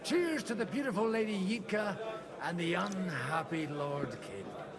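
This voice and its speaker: A man's voice: high voice, lively intonation, moderate pace, long reverb tail, a crowd in the background. A man acting an eloquent, worldly noble envoy in a game, courtly and theatrical with dry wit. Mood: jovial toast. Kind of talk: dramatic scripted game acting.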